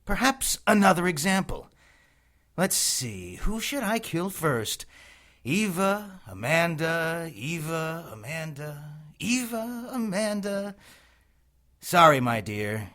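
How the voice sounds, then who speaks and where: Sharp, taunting sadistic villain. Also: male voice, a little high, sing-song, heavily acted. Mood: gloating. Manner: theatrical, taunting, drawn-out delivery. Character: sadistic, smirking villain, a gloating male tormentor. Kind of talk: audio drama acting.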